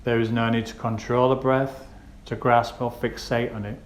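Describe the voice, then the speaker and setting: Even-toned man guiding meditation, echoey room. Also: medium pitch. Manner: gentle, unhurried instruction with pauses. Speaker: meditation guide. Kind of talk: guided meditation narration. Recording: roomy echo with faint background noise.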